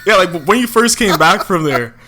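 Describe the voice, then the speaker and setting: Young man, podcast co-host, teasing, expressive, lively pitch. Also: normal speed, a little hiss or hum. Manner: lively, teasing, reacts with exclamations. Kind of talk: casual chat.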